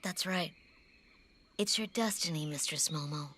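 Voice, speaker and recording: A woman's voice: low voice, a little slow, very noisy. A woman voicing a cool, guarded young female protector in an anime dub, terse and controlled. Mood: stern, restrained. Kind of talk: anime English dub acting. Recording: prominent music bed under voice.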